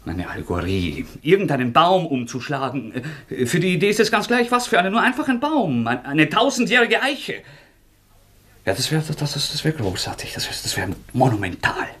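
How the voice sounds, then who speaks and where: An actor in a radio drama playing a feverish, obsessive young former student, halting and repeating himself with intensity. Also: male voice, on the high side, very animated, a bit bright. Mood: feverish, agitated. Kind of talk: German radio drama acting.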